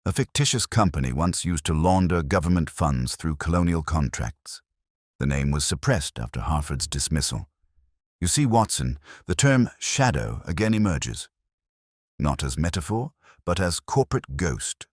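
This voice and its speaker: A man narrating plays a shrewd gentleman detective from a mystery audiobook, very deep in voice. He speaks in precise, formal deductions, cryptic and sure of himself, with lively turns of pitch. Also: normal speed, dry recording, clean studio sound, full character voice. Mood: assured, deductive.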